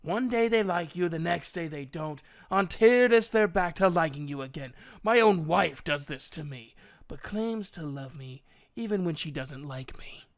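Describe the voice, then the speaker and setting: A man's voice: fairly high pitch, lively intonation, measured pace. A man voicing a proud, sardonic male elf with a fierce temper and a commanding way of talking, in an audiobook. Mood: wry.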